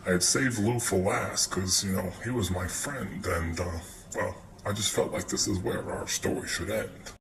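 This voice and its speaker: A man's voice: deep voice, normal speed, vocal fry. A man playing a hardboiled noir detective, telling his case in wry, dramatic rhyme. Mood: world-weary. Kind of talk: rhyming film-noir parody narration. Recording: noisy homemade phone clips.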